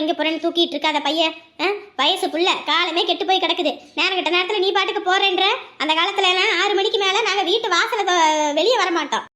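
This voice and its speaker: A woman voicing a calm older family elder who talks softly and coaxes with proverbs in a family comedy skit. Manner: low, gentle, coaxing with proverbs.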